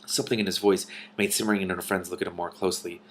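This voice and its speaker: Slightly low-voiced man reading a story. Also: flat delivery, very fast, fairly bright tone, slight background noise. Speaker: online book reader and commentator. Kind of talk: expressive storybook reading with casual commentary.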